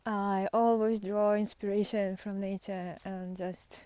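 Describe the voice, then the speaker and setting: A woman speaking off the cuff and reflectively on a walk outdoors, musing and halting, often trailing into breathy near-whispers. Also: mid-range voice, flat delivery, warm tone, a little hiss or hum. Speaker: spiritual vlogger and guide. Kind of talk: unscripted reflective talk while walking in nature.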